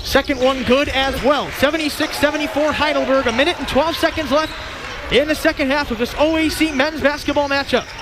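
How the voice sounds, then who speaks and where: High-voiced man calling basketball on radio. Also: steady tone, noisy background. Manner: rapid, punchy call of the action. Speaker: play-by-play announcer. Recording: live arena broadcast with crowd and music.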